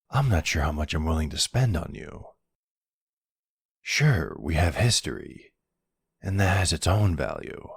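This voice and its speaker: Very deep, gravelly flirty male vampire, played by a man. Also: dry recording, studio quality, full character voice. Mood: smug, teasing. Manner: teasing, drawling, softly menacing with wistful undertones. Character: flirty, smug male vampire taunting a captive hunter. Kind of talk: intimate ASMR roleplay acting.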